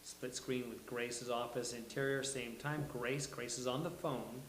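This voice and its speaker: A man's voice: medium pitch, steady tone, a little slow, fairly bright tone, slight background noise. A man reading stage directions as the host of a live table read. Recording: roomy live recording.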